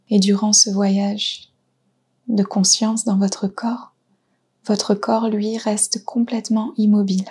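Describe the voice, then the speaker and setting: A woman guiding a yoga nidra relaxation, speaking softly and soothingly with pauses between short phrases. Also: mid-range voice. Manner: soft, breathy, soothing delivery with pauses. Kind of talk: guided relaxation meditation.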